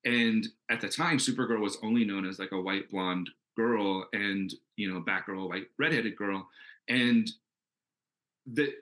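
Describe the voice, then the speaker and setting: A man's voice: fairly low pitch, unhurried, fairly bright tone. A man speaking as a comics creator on a panel, casual and earnest, talking in an enthusiastic, rambling way.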